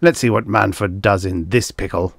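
A man voicing a chubby, domineering TV producer in an audiobook, rumbling, petulant and menacing. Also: normal speed, creaky, no echo, studio quality. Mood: irritated. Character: chubby, irritable, domineering male TV producer boss. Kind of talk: acted audiobook dialogue.

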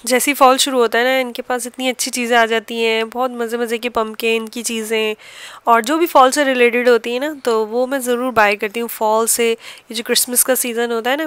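Pakistani lady chatting through her home vlog, really smooth clean voice, dry close sound. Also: on the high side, fairly bright tone, no echo. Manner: chatty, explanatory, homely everyday talk. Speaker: home cooking and family vlogger. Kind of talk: casual vlog voice-over narration.

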